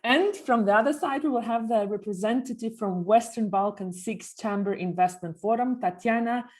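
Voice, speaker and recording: A woman's voice: fairly high pitch, normal speed, darkish tone. A woman hosting a podcast, introducing and moderating in a presenter's scripted style. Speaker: podcast host. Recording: music behind the voice.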